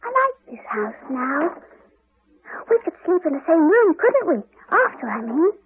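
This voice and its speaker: A woman's voice: very high-pitched, very animated, a little slow, full character voice. A female performer playing a dutiful little girl, plaintive and clinging, in the style of 1980s radio drama. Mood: wistful, clinging. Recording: noisy, thin narrow-band old radio recording.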